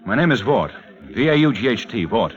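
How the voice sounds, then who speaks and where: A man's voice: on the low side, sing-song, a little fast. An actor playing a wary young man, clipped and sardonic, in a 1940s radio drama. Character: wary, curt young man dodging mistaken identity. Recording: dull vintage radio recording.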